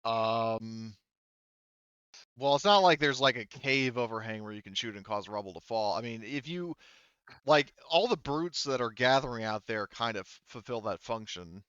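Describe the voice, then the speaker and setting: A man game-mastering a tabletop roleplaying game in casual talk, halting as he thinks aloud. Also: mid-range voice, lively intonation, a bit bright, smooth, no room sound, dull audio.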